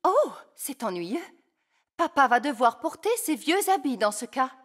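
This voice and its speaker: A woman playing a brisk, fretful fairy queen mother in a children's cartoon. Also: high voice, very animated, full character voice. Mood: composed.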